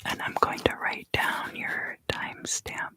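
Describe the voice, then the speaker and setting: A woman from the southern US East Coast giving a soft, unhurried ASMR card reading in whispers, often pausing. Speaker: tarot reader and ASMR creator.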